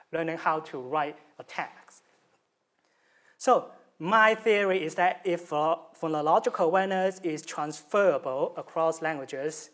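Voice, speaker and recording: Young man, Hong Kong accent, Cantonese native, animated student talk, fillers, lectern mic, lecture room. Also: on the high side. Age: young adult. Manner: animated, gestures with hands, many fillers. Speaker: student presenter. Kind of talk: academic presentation. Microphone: stand mic at lectern.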